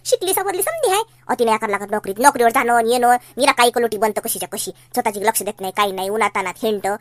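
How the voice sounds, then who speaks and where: A woman's voice: a little high, animated, quick pace, no echo, natural voice. A woman voicing a fretful, doting middle-aged mother in a cartoon comedy, with a Varhadi Marathi accent and a homely, chiding way of talking. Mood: chiding, coaxing.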